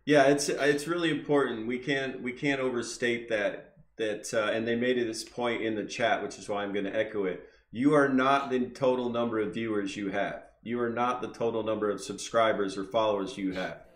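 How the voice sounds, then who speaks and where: A man's voice: lowish voice, fairly even intonation, moderate pace, few pauses, roomy sound. A man hosting a podcast, speaking earnestly and encouragingly in casual chat. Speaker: podcast host.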